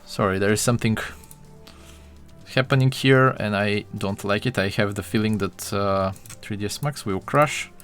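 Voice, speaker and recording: A man's voice: lowish voice, moderate pace, a bit bright, soft background music. A young man from Bulgaria chatting with his live-stream viewers while he models, full of fillers and restarts.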